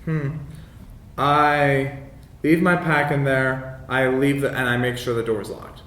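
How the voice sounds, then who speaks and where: A man's voice: flat delivery, slow pace, a bit bright. A man chatting casually as a player in a tabletop roleplaying game, hesitant and often rephrasing. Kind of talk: casual improvised roleplay chat. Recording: roomy echo, faint background noise, rumble.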